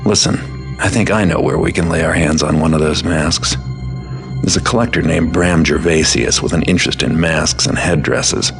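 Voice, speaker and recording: A male actor playing a cynical master thief in a video game, with a dry, sardonic, world-weary delivery and a gravelly voice. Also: moderate pace. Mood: businesslike. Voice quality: very low, gravelly. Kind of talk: video game cutscene dialogue and narration. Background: music.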